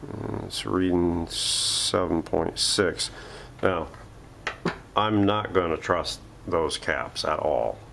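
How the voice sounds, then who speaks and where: Deep creaky voiced guy doing a casual repair demo at his bench, kinda talking to himself, some noise behind him. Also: fairly bright tone. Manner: thinking aloud while working. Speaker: host of a radio repair vlog. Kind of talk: unscripted hands-on workshop demonstration, casual. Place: home workshop.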